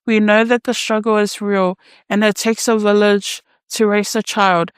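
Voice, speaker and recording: Smooth, even-voiced woman advisor. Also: mid-range voice, moderate pace, no room sound. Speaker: Pacific student success advisor. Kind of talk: scripted welcome speech.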